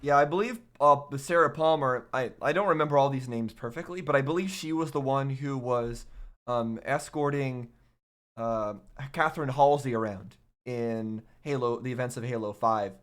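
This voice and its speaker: A man giving casual reaction commentary in a halting, musing way. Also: medium pitch, a bit bright. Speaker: reaction video host.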